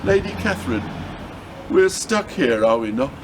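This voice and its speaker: A man's voice: mid-range voice, very animated, normal speed, background noise, close to an everyday voice. A man voicing an earnest, anxious young boy in a storybook, urgent and pleading.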